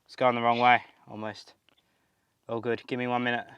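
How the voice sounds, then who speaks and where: A man's voice: mid-range voice, flat delivery, moderate pace, fairly bright tone, clean tone, muffled recording. A young man, an electrician, giving a casual and easygoing explanation of a job to camera. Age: young adult. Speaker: electrician presenting an installation. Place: indoors in a house. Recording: faint music behind the voice.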